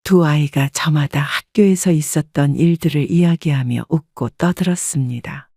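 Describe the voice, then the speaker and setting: A woman's voice: deep voice, moderate pace, dark tone, dry recording, clean studio sound. A woman reading a scripted first-person story as an audiobook narrator.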